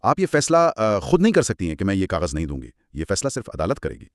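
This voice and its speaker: A man's voice: deep voice, wildly swooping pitch, quick pace, no room sound, studio quality. A man with a Pakistani accent explaining law in an assured, emphatic, conversational teaching style. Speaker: legal explainer on a two-person show.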